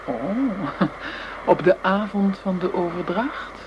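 An actress playing a cool, commanding crime boss who bargains hard and curtly in a radio drama. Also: female voice, lowish voice, expressive, slow. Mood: cool, bargaining. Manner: curt, in control. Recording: dull, noisy old radio play recording.

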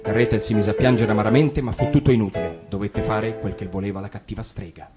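A man reading a fairy tale aloud on the radio, expressive, sinking his voice low and quiet for the sinister parts. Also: fast. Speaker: narrator. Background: guitar music.

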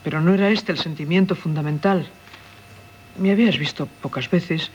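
An actress playing an anguished, unhappy wife in a 1970s radio drama, speaking earnest, solemn Castilian Spanish. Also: female voice, sits low, moderate pace, darkish tone, close to an everyday voice. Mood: earnest, bitter. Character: anguished, unhappy wife pleading for her freedom. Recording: dull 1970s radio recording, clear hiss.

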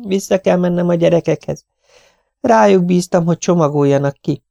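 Timid, cowed wife, high and faltering; male reader putting her on. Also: gravelly, dry recording, highs cut off. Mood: meek. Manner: meek, faltering, hushed. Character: timid, frightened married woman.

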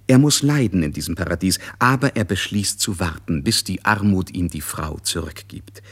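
A man narrating a literary radio play in a measured, detached manner. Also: sits low, lively intonation, a bit bright, breathy edge, heavily acted.

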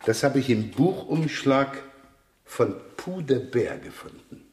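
A man's voice: medium pitch, lively intonation, takes it slowly, darkish tone. A man playing a jaded, teasing police inspector in a radio crime drama, muttering casual sarcastic asides. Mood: dry, sarcastic. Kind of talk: 1970s German radio crime drama acting. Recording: echoey room, clear background noise.

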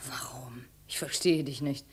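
An actress playing a bitter, defiant slave woman with a slightly husky voice and curt manner in a 1950s German radio drama. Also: a bass voice, fairly even intonation, a little slow, darkish tone, heavily acted. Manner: challenging, curt.

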